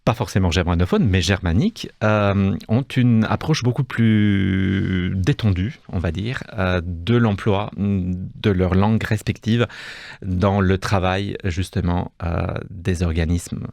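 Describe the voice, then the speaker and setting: Romanian-accented male interview guest, low-pitched, halting, dry studio sound. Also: gravelly, darkish tone, no room sound. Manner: hesitant, many restarts and fillers. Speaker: guest, cultural institute deputy director. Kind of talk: radio interview. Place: radio studio.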